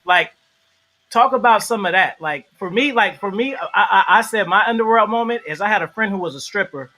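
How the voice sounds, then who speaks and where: A man hosting a casual livestream interview, animated and shouting out viewers in the chat. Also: high-pitched, a little fast.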